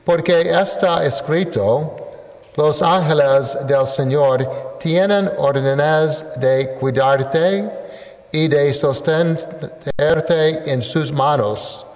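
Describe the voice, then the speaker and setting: Older priest reading at mass, super slow and careful, stand mic, long church echo. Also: male voice, a little high, darkish tone. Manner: slow, deliberate reading from a book. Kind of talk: reading the gospel and preaching at Mass. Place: church sanctuary, indoors. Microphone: stand mic at a lectern. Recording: reverberant church sound.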